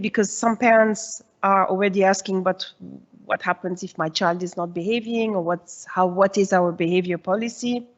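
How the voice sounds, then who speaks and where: A woman in her forties from Switzerland gives a presentation to parents as the head of her school's early years. Her voice sits mid-range, and she explains each point patiently with many "uh" and "um" pauses. The sound is slightly dull and muffled. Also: mid-range voice. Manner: explanatory, reassuring, with frequent "uh" and "um".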